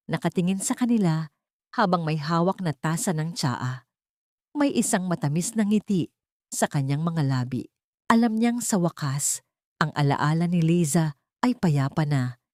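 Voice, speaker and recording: A woman's voice: low-pitched, dark tone, dry recording, clean studio sound. A Filipino woman narrating a scripted dramatic story in a sentimental, solemn manner. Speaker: audiobook narrator.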